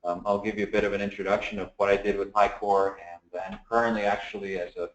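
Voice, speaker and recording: A man from the Dominican Republic giving a relaxed technical talk at a seminar, with many fillers and restarts. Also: fairly low pitch, quick pace, dull audio. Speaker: guest speaker. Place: seminar room, echoey. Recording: echoey room.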